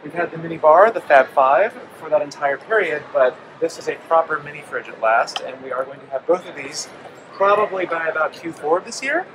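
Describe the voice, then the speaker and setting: Man in his forties, fast talker, slightly high voice, handheld mic, noisy convention hall. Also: expressive. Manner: fast, fluent product explanation with frequent ums. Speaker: company representative. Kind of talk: trade show interview. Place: busy indoor convention hall. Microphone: handheld mic held by interviewer. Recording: noisy trade show floor.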